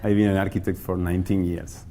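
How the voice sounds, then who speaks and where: Brief: male architect, very low voice, halting with uhs, faint hum in background. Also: level tone, warm tone. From Argentina. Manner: hesitant, many uhs. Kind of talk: documentary interview.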